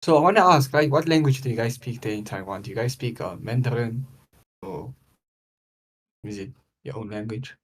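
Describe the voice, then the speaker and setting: A man's voice: mid-range voice, normal speed, pauses often. Friendly man chatting with questions. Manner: friendly, curious, asks lots of questions. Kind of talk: casual chat.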